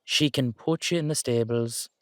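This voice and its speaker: A man's voice: medium pitch, normal speed, dry recording, lightly acted. A man playing an exasperated but affectionate Irish dullahan rider, chatting coaxingly and teasingly to his horse in a roleplay audio drama. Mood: pleading, fond. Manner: conversational, coaxing, teasing, slangy.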